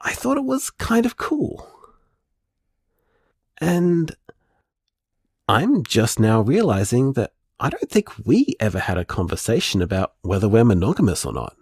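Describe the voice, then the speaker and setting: An actor playing a thoughtful, awkwardly candid boyfriend in an audio drama, talking in a casual, rambling way. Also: male voice, mid-range voice, wildly swooping pitch, a little slow, pauses often, darkish tone, husky, no echo, clean studio sound, full character voice. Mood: sheepish, bemused. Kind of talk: audio drama voicemail monologue.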